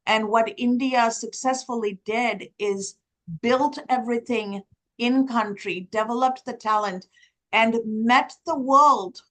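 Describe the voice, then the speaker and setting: A woman's voice: a little high, fairly bright tone. A woman from Sri Lanka whose first language is Tamil, speaking on a conference panel and building her points in long lists.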